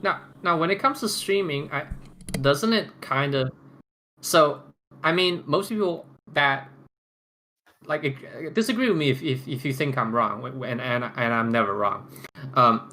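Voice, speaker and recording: Casting: male roundtable host, bright mid-pitched voice, conversational and halting, slightly noisy recording. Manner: halting, repeats and restarts phrases. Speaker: podcast host moderating a roundtable. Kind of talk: casual roundtable chat. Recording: noticeable background noise.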